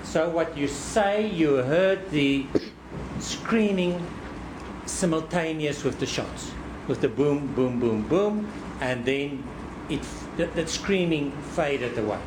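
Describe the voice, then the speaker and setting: A man cross-examining a witness in court, deliberate and probing, often repeating words as he frames a question. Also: a little high, lively intonation, background noise.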